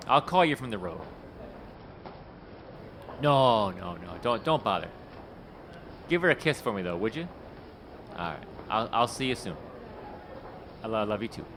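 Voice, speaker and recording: An actor playing a middle-aged talent scout in an audio drama, nervous and talkative. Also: male voice, medium pitch, fairly bright tone, a little hiss or hum, lightly acted. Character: tenacious male talent scout, fast-talking and anxious. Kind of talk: radio drama acting.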